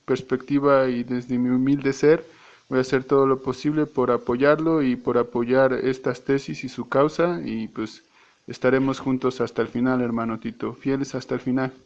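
A Mexican man leaving a long voice message who talks hesitantly, often stopping to repeat or correct himself. His pitch stays flat and level throughout. The recording sounds dull and lo-fi. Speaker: church member. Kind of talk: casual voice message.

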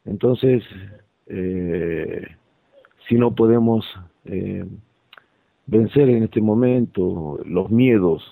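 Low, gravelly man on phone-like audio. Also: no room sound. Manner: hesitant, halting. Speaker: participant in a religious discussion. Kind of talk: reflective religious talk. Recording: thin phone-like sound.

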